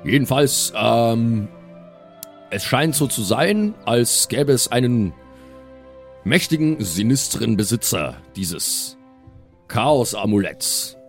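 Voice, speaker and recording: A man's voice: medium pitch, sing-song, takes it slowly, faint music behind. A man improvising as a player in a tabletop role-playing audio show, switching between table talk and in-character speech.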